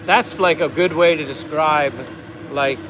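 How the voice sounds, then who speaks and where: A man's voice: a little high, steady tone, a little slow, noisy background. An American man hosting a casual vlog, talking in a rambling, easygoing way as he reads out viewer comments. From United States. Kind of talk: casual livestream chat.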